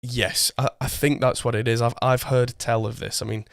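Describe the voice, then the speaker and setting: A man in his thirties hosting a casual podcast, chatty and self-deprecating, his voice a little hoarse from a cold. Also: mid-range voice, little pitch movement, moderate pace, dry recording. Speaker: podcast host. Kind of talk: casual chat. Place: home studio. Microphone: condenser mic through an audio interface. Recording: dry close-mic sound.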